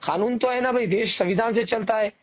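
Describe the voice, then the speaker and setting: High-pitched indignant man on a phone. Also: a little fast. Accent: Marathwada Dakhni Hindi-Urdu. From Parbhani, Maharashtra, India. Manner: indignant, insistent, argumentative, repeating himself. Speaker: election candidate and party worker. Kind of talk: informal phone conversation. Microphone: phone call. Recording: muffled recorded phone call.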